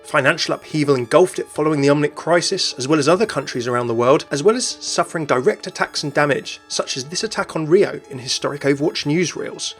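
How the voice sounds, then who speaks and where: Male host for scripted game lore narration, brisk pace, voice a bit on the high side. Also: fairly bright tone, soft background music. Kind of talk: scripted video narration about a game character.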